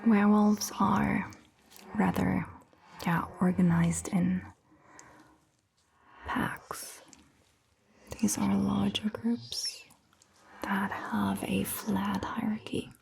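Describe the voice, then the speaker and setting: Woman, hushed ASMR vampire doctor; unhurried whispered delivery, close-miked with faint hiss. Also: mid-range voice, level tone. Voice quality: soft, breathy whisper. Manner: slow, gentle, hushed, with pauses. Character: calm, caring female vampire doctor. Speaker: ASMR roleplay host playing a vampire doctor. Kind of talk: whispered ASMR medical roleplay with storytelling. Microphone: close ASMR microphone.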